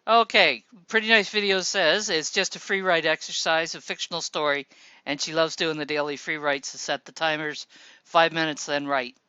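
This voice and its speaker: A man hosting a casual voice-chat show, relaxed and helpful, talking through people's posts. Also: a little high, moderate pace, clear, resonant tone, no room sound, lo-fi sound. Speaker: show host.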